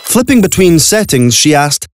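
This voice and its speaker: A man's voice: fairly high pitch, sing-song, normal speed, a little hiss or hum. A man narrating a scripted audio drama as a storyteller. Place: recording studio. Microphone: close studio microphone.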